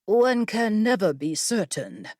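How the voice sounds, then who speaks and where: A woman's voice: mid-range voice, sing-song, slow, a little mellow, no echo. A stern desert guide woman, acted out by a female narrator in a storybook reading. She is blunt and dry, talking down to her listener in a close, dry recording. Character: gruff, scowling tall desert guide woman, stern and unapologetic. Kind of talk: audiobook character voice.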